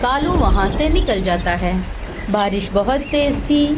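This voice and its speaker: A woman's voice: medium pitch, expressive, measured pace, noisy background. A woman narrating a scripted children's story.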